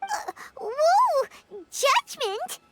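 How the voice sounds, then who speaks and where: A woman voicing a tiny, chatty, childlike fairy companion in a video game, exclamatory and quick to take offence. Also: very high voice, sing-song, noisy background, exaggerated voice. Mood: startled, unsure. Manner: refers to self in third person, exclamatory.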